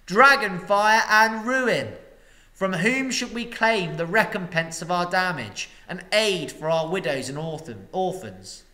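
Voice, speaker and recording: A man voicing a pompous, self-serving older town master who makes loud, indignant speeches. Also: a little high, lively intonation, unhurried, few pauses, voice pushed up.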